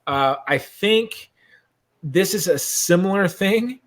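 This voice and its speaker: Excitable man hosting a casual chat. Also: high voice, takes it slowly. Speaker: host. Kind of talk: casual chat about custom action figures.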